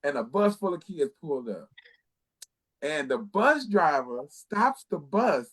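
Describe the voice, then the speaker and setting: A man's voice: on the high side, very animated, measured pace, low-quality recording. An American man in his late thirties, a talk show guest telling personal stories in an emphatic, repetitive way and acting out what people said. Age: late 30s. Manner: emphatic, repeats phrases, acts out quoted speech. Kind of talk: animated personal storytelling.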